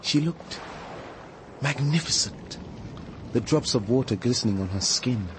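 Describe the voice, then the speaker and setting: An actor playing an earnest, troubled British activist, confiding and insistent, in a radio drama. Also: male voice, mid-range voice, animated, a little slow, noisy background, close to an everyday voice. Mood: troubled, bitter. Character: earnest, troubled male anti-nuclear activist living in a caravan.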